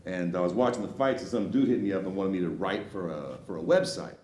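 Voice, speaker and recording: A man in his thirties from Dayton, a podcaster, telling stories in casual talk-show chat with a deep voice. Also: quick pace, a little mellow, echoey room. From Dayton, Ohio. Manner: laid-back, joking storyteller. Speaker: podcaster guest.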